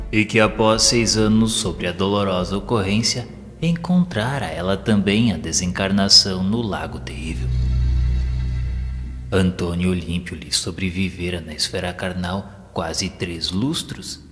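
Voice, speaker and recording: A man's voice: low voice, fairly bright tone. A man narrating an audio drama from a script in a solemn, formal, literary manner. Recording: long hall reverb. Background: background music.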